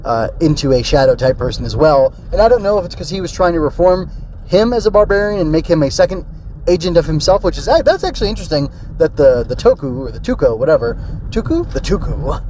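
A man's voice: on the high side, sing-song, fast, a little mellow, a little hiss or hum. A man hosting a casual solo review podcast, rambling and thinking aloud with tentative digressions. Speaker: podcast host and film reviewer.

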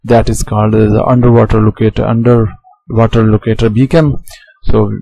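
Gravelly low-voiced male lecturer. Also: flat delivery, warm tone, rough recording. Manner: halting, frequent restarts and fillers. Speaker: aviation instructor. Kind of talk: slide lecture. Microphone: voice-over on slides.

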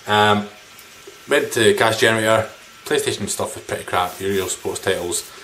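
Low-voiced man chatting casually, noisy room. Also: moderate pace, fairly bright tone. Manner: rambling, informal, mildly sweary. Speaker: video game collector vlogger. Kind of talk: casual chat showing game pickups to camera. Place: small living room indoors. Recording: background noise, room ambience.

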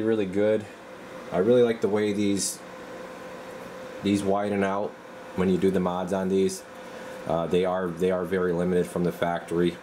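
A man in a radio workshop, talking casually and haltingly while he tests equipment. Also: deep voice, background noise. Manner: relaxed, halting, thinks aloud while working. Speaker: radio repair technician. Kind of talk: casual hands-on demo.

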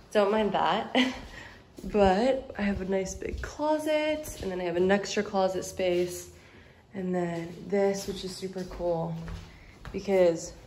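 A woman's voice: mid-range voice, darkish tone, slight background noise. Chatty young woman filming a house tour. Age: young adult. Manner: chatty, bubbly, heavy use of "like". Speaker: vlogger. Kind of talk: casual house-tour vlog. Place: empty house, indoors. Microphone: phone held at arm's length.

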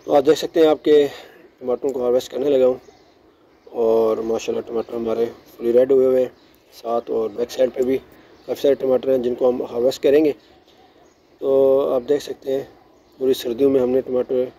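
A middle-aged man giving a relaxed, chatty tour of his rooftop garden, mixing English words into his speech, recorded outdoors on a phone. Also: mid-range voice, moderate pace. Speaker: gardening vlogger. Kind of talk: casual show-and-tell narration.

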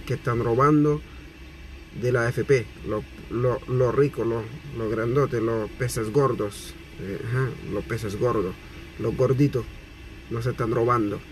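Man from Haiti, 30s, indignant, animated, phone selfie video, car interior, faint road noise. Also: mid-range voice, rough recording. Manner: animated, gesturing, repetitive. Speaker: vlogger. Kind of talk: indignant complaint to camera. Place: parked car, daytime. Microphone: phone camera at arm's length.

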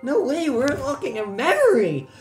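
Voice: sits high, huge pitch swings, normal speed. A gamer bantering casually and playfully through gameplay, with a sarcastic streak. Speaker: gamer co-playing on a shared controller. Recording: roomy echo, game music behind. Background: video game music and sounds.

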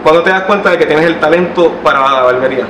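A man's voice: on the high side, fast talker. A man hosting an interview, who introduces his guest with upbeat promotional energy. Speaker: interview host. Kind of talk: hosted interview. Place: living-room style studio set. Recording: roomy sound from a distant mic with background noise.

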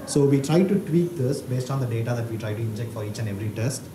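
A man's voice: mid-range voice, fast. Casting: hesitant man in his thirties explaining code at a meetup, echoey room, audible background noise. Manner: hesitant, many uhs and likes. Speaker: conference speaker. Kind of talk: technical meetup talk. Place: meeting room with audience. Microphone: handheld mic. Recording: roomy hall with background noise.

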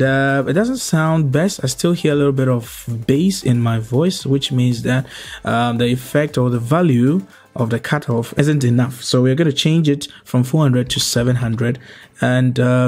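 A young man hosts a tutorial in a mid-pitched voice. He explains things step by step in a measured manner. Soft music plays underneath. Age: young adult. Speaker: host of a video editing tutorial. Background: background music.

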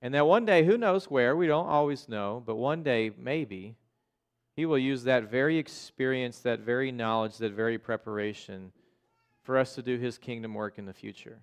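A man's voice: medium pitch, normal speed, clean tone. An American man preaching as a pastor in an informal, conversational way, often checking in with his listeners. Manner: informal, checks in with listeners using "okay?" and "right?". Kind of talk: conversational sermon. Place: church sanctuary in front of a congregation.

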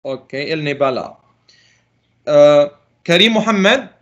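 A man's voice: a little high, expressive, slow, fairly bright tone, lo-fi sound. A middle-aged man teaching a French class over a video call, calling on students and patiently correcting their answers. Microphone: laptop mic on a video call.

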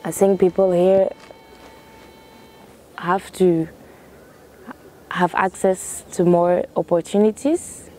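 Young woman talking slow and mellow outside, faint noise behind, thinks as she goes. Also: lowish voice, level tone, rough recording. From France. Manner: thoughtful, searching for words. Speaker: interviewee. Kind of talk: reflective personal testimony. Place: outdoors in a canoe on a lake.

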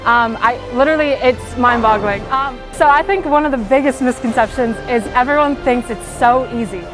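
A woman's voice: on the high side, normal speed, rough recording. Chatty teenage girl over music. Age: teens. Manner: chatty, upbeat, lots of fillers. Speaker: high school bowler. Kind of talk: casual interview. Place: bowling alley. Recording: music playing underneath.

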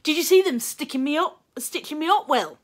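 A woman voicing an excitable young English boy from a storybook, loud and piercing, eager and full of questions. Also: high-pitched, a little fast, pitched above the performer's own voice. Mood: excited, eager. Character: excitable little boy, cheeky pestering younger brother.

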